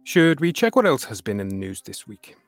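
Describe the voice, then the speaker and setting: A man's voice: medium pitch, big pitch swings, fairly quick, no echo, soft background music. A man with an Irish accent co-hosting a weekly news show, reading headlines and chatting with his co-host. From Ireland. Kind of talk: scripted news reading and casual banter.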